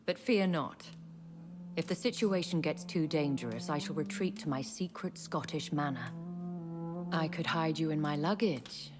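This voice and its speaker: Low-voiced, elegant vampire lady played by an actress. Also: natural voice. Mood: wry, warm. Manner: formal, refined, measured speech. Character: elegant, composed aristocratic vampire lady, long-lived, wry and caring. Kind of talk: video game dramatic dialogue. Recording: loud background music. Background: music.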